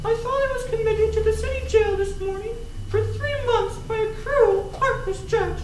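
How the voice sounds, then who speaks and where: A woman's voice: very high-pitched, normal speed. A young woman playing a melodramatic, plaintive aristocratic lady in distress in a comic stage reading. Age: young adult. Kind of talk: comic readers theater on stage. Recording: noisy echoey stage recording from far off.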